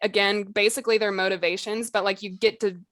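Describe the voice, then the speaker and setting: A woman's voice: mid-range voice, level tone, fairly quick, fairly bright tone, dry recording, clean studio sound. A woman co-hosting a podcast, explaining writing tips in an informal, hedging way with lots of "like" and "you know". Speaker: podcast co-host. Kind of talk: casual instructional chat about fiction writing.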